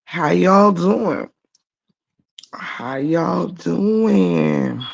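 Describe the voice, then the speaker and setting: A woman with a low, gravelly voice that is extra scratchy today, speaking slowly and easily. She chats with her stream viewers from her bed and greets them one by one. The audio sounds dull and lo-fi. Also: lively intonation. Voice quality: low-toned voice. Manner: laid-back, chatty, greets viewers by name. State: scratchy voice after a night of singing. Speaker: livestream host. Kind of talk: casual chat greeting viewers. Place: lying in bed at home.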